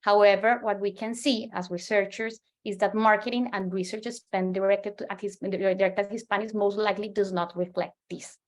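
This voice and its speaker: A woman's voice: medium pitch, fairly even intonation, normal speed, few pauses. A woman in her forties from Colombia with a Colombian Spanish accent, a native Spanish speaker giving an earnest presentation as a market researcher, often restarting and repeating words. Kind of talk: presentation to a professional audience.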